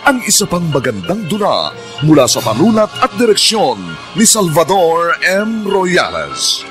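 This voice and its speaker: A man's voice: fairly high pitch, wildly swooping pitch, a little slow, music in the background. A man with a Filipino accent giving grand, declamatory scripted narration as a radio drama announcer.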